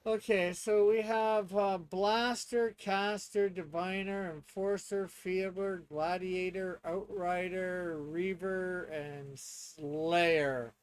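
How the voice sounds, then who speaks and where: A man's voice: high voice, steady tone, slow, few pauses, clean tone, low-quality recording. A man chatting casually and thinking aloud as a player learning a tabletop game.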